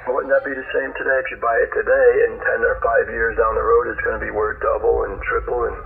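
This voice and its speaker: A raspy-voiced man chatting casually as a ham radio operator over shortwave, with a blunt, questioning manner. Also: medium pitch, level tone, quick pace. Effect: shortwave radio transmission. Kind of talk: casual two-way radio chat. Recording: distant, muffled, lo-fi shortwave ham signal.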